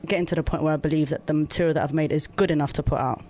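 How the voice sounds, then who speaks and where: Easygoing young woman. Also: deep voice. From Colombia, raised in London. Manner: easygoing, animated, quick restarts. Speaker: singer-songwriter guest. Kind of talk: casual interview chat.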